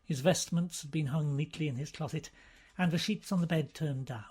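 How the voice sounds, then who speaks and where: A man reading a novel aloud as scripted narration, in a measured, formal storytelling manner. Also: on the high side, a little mellow, husky. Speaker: audiobook reader.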